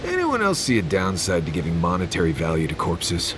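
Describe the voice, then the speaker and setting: A blunt, earthy adventuring companion in a fantasy game. His low voice is dry and sardonic but moves freely in pitch. Loud noise sits behind it. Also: huge pitch swings, a little fast. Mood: dry, wry. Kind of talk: video game voice acting.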